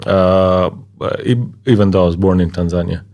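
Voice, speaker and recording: Guest: relaxed man from Kenya, 30s, lowish voice, easygoing pauses. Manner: relaxed, hesitant with many fillers, laughs easily. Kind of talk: casual interview conversation.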